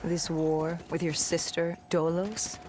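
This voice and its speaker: A woman's voice: lowish voice, little pitch movement, takes it slowly, noisy background. A woman playing a defiant, bitter soldier in a video game, terse and sardonic. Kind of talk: dramatic video game acting.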